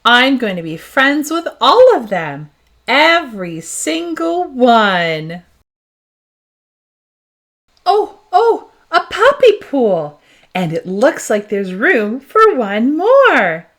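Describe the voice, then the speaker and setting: An eager, naive young puppy , voiced by a woman, tells its own picture-book story. The voice is a little high and swings widely in pitch, childlike and exuberant, with slow pacing for small listeners. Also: sing-song, a bit bright, full character voice. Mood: excited, eager. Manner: exuberant, wide-eyed, childlike. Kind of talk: storybook read-aloud.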